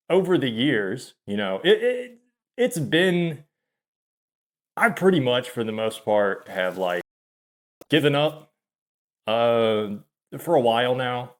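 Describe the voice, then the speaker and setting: Slow, halting man in his thirties. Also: mid-range voice. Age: 30s. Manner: halting and hesitant, many fillers and restarts. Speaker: channel host. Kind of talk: confessional monologue to camera.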